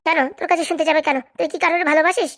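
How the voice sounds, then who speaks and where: Woman acting as middle-aged mother, comedy cartoon, high voice, rapid, nagging, practical, insistent. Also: fairly even intonation, a bit bright. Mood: insistent, scolding. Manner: nagging, repeatedly checking she is understood. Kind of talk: Bengali comedy cartoon dub.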